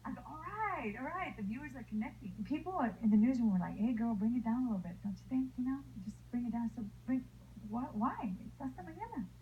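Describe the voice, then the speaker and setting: A woman with a fairly high voice tells her own story with spirit and acts out the people she quotes. The recording is thin and phone-like, with faint hiss underneath. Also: brisk. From El Paso, Texas. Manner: lively, acts out the voices of others. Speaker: former TV news anchor. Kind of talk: personal storytelling to camera.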